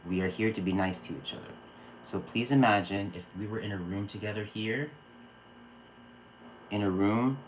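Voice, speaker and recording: A man from Virginia hosting a spiritual live stream, talking earnestly and calming his viewers with a repeated "okay". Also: on the low side, a little hiss or hum, low-quality recording. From Virginia, USA. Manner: earnest, repeats words and restarts phrases. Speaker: psychic circle host. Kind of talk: live-stream spiritual talk answering chat.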